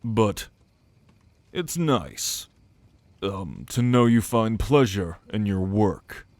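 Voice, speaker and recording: A man acting a brooding, dutiful ancient celestial being in an ASMR audio roleplay, speaking in a measured, formal, pensive way. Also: lowish voice, a little mellow, no room sound. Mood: tender, wry. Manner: measured, pensive, formal phrasing with deliberate pauses.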